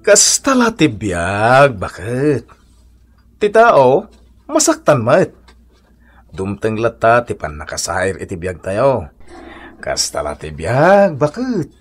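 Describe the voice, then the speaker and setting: A resigned, philosophising Ilocano husband in a radio comedy drama. His voice is husky and mid-pitched. It rises and falls dramatically as he sighs over life. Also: huge pitch swings, takes it slowly, pauses often, exaggerated voice. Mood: philosophical. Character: poor, resigned, philosophising working husband and father.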